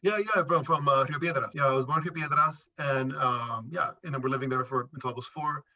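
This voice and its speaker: Bright, forceful man, talking emphatically. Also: mid-range voice, a little fast, highs cut off. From Puerto Rico and New York City. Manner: emphatic, repeats phrases for stress. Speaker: interview guest. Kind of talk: casual conversational interview.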